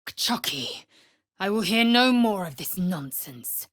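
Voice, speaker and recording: A woman voicing a fierce, haughty githyanki warrior in a video game, with a husky rasp and an imperious, clipped, formal way of speaking. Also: medium pitch, lively intonation, slow, a bit bright, dry recording, clean studio sound. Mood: scornful, furious. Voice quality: husky, raspy. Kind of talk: video game character voice lines.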